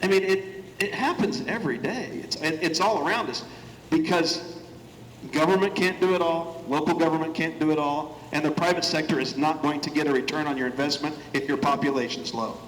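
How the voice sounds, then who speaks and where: A man's voice: on the high side, brisk, fairly bright tone, slight background noise. A man with an Indiana accent speaking as a guest speaker in a banquet hall, making emphatic, punchy points. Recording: echoey reverberant hall.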